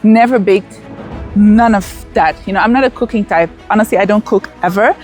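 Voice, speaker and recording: A chatty woman in her thirties, raised in the Netherlands, talks casually to the camera while walking around outdoors. Her voice sits in an ordinary middle pitch, and faint music plays behind her. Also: fairly quick. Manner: chatty, excited, with fillers and restarts. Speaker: vlogger. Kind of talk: casual vlog narration. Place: outdoors at an event venue.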